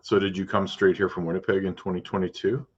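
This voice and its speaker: A man with a very deep voice hosts a podcast interview and keeps the chat loose and informal. He often restarts his questions and drops in short "okay"s and "yeah"s. The sound is muffled and a bit dull. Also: little pitch movement, slightly rough. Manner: chatty, restarts his questions, quick acknowledgments. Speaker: podcast host and realtor. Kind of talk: casual interview.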